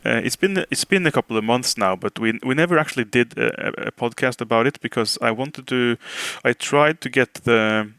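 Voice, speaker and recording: A man's voice: medium pitch, fairly quick, few pauses, a bit bright, clean tone, no echo. Male podcast host, native Norwegian with matching accent, clean resonant voice, very dry sound, informal chat. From Norway.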